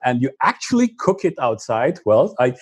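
Husky-voiced man, hesitant. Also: mid-range voice, sing-song, normal speed, dry recording. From Slovenia. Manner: hesitant, many fillers and restarts. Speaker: guest. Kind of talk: casual interview chat.

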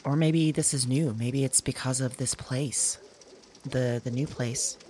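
A woman playing an uneasy, self-conscious dreamer in an audio drama, speaking haltingly into a voice memo and trailing off. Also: very deep voice, fairly even intonation, dark tone, a little hiss or hum. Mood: uneasy, musing.

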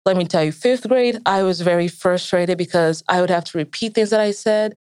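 A young woman with a smooth, clear voice on the lower side and an American accent with traces of Nigerian. She speaks reflectively and with animation as an interviewee, in a close, dry recording. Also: brisk, darkish tone, dry recording. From Nigeria and the United States. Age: young adult. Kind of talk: reflective personal storytelling in an interview.

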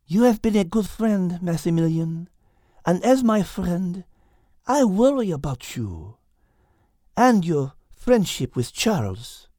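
A man voicing a calm, wise female cat who reasons carefully and drops French words. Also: very high-pitched, slow, hardly any pauses, no room sound, clean studio sound, voice pushed up, exaggerated voice. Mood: gentle.

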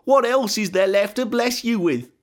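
Man acting a quavering, high-voiced old father. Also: sing-song, moderate pace. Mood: grave, resigned. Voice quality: quavering when upset. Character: elderly blind father, suspicious, then shaken and grave.

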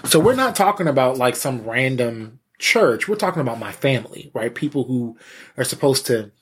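A man with a husky voice, a pastor and interview guest, giving candid personal testimony in a halting, conversational way with repeated words. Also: mid-range voice, big pitch swings. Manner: halting, repeats words, frequent "you know".

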